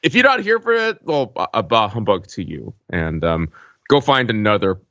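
A man's voice: fairly low pitch, sing-song, moderate pace, fairly bright tone, no echo, muffled recording. A man co-hosting a podcast in casual, playfully rambling, tongue-in-cheek chat. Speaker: podcast co-host.

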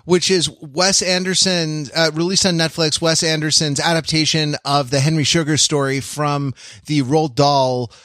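A man hosting a casual podcast discussion, talking in a rambling, halting way and often repeating words mid-sentence. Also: on the high side, flat delivery, fairly bright tone, dry recording, clean studio sound. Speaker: podcast host.

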